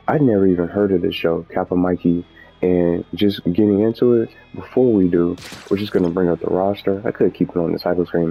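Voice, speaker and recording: A man with a deep, creaky voice hosts a casual gaming video. He talks in a loose, unscripted way, often repeating and rephrasing himself. The sound is slightly muffled, with music playing behind him. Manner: rambling, repetitive, self-correcting. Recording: muffled audio with background music.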